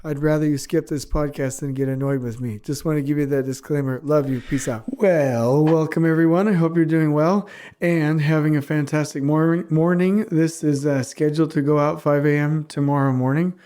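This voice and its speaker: Guy with a cold, stuffy nose, mellow voice, chatty podcast host going on and on. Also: on the high side, steady tone, normal speed. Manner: rambling, earnest, self-mocking. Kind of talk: casual solo podcast monologue.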